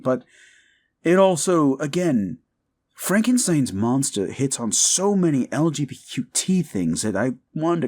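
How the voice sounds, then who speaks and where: A man's voice: mid-range voice, darkish tone. A man talking casually and reflectively to his listeners, earnest and thoughtful, rambling a little as he goes. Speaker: audio drama voice performer and writer. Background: music.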